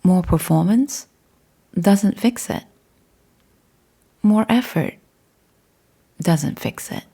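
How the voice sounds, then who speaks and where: A woman leading a guided meditation in a measured, hushed and deliberate way, leaving long pauses between short phrases. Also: mid-range voice, gravelly, slightly rough. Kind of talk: slow guided meditation narration.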